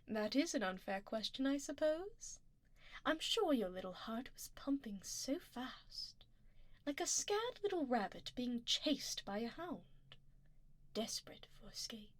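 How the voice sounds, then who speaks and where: Woman acting slow, high-voiced haughty vampire duchess. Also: sing-song, no room sound, heavily acted. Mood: intrigued, teasing. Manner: imperious, formal and refined, often dropping to a whisper. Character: haughty, doting noblewoman vampire duchess, predatory and teasing. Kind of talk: ASMR roleplay, intimate scripted monologue. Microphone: close binaural microphone.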